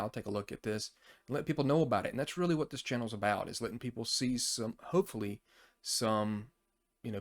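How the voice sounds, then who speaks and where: A man hosting a casual, unscripted overview, talking in a rambling, conversational way as he thinks aloud. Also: medium pitch. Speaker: tabletop RPG review channel host.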